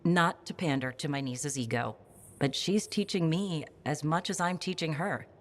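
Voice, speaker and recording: A woman's voice: deep voice, normal speed, lightly acted. An actress playing a scholarly middle-aged aunt who insists on her memories. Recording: game audio with music behind.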